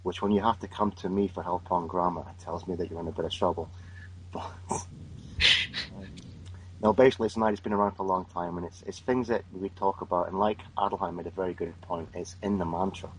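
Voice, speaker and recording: A man's voice: sits low, fairly even intonation, quick pace, low-quality recording. A man talking on a panel in an emphatic, opinionated way, often repeating words as he starts a thought. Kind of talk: casual podcast chat.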